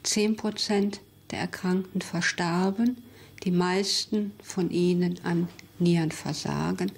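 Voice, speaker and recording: Female scientist in interview, lower register, careful factual delivery with halting phrasing. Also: steady tone, vocal fry. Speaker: expert interviewee, virologist. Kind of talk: explanatory interview statement.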